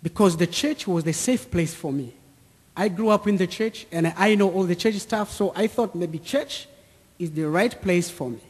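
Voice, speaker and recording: East African man, high-pitched voice, unhurried personal testimony, deliberate phrasing, long hall reverb. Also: breathy edge. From Central or East Africa, near Lake Tanganyika. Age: 30s. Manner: deliberate, short repeated phrases. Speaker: speaker giving a testimony. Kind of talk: personal testimony told to an audience with slides.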